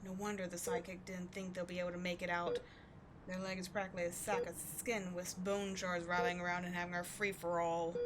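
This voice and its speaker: A woman's voice: fairly low pitch, little pitch movement, a little fast, noisy background, natural voice. A woman playing a sardonic, commanding supervillain in a scripted audio roleplay, biting and contemptuous as she tends a captive sidekick. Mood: brisk, scornful.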